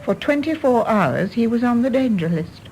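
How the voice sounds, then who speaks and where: English widow, radio drama, composed, wistful, candid, genteel, lively intonation, creaky edge. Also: female voice, on the high side, a little hiss or hum, poor audio. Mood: calm, regretful. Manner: measured, genteel, frank. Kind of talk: British stage-play radio drama acting.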